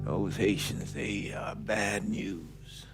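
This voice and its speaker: A man playing a weary, regretful old American priest and war veteran, giving solemn, measured testimony in a documentary-style game scene. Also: fairly low pitch, loud music behind the voice. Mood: regretful, reflective. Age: older. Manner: measured, solemn, deliberate storytelling with pauses. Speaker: priest recounting past events in a documentary interview.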